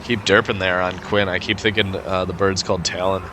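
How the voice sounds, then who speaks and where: A man with a cold, sounding stuffed up, giving relaxed play-by-play commentary over a video game match with frequent fillers. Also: low voice, a little fast, noisy background. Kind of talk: casual gameplay commentary.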